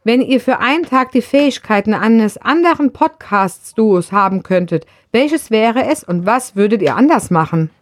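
A woman's voice: on the high side, animated, moderate pace, few pauses, no echo, clean studio sound. A woman reading prepared questions aloud to podcast hosts. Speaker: question reader. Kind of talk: scripted questions read aloud.

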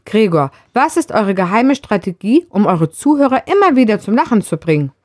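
A woman's voice: medium pitch, big pitch swings, normal speed, darkish tone, dry recording, clean studio sound. A woman reading out scripted questions to podcast hosts.

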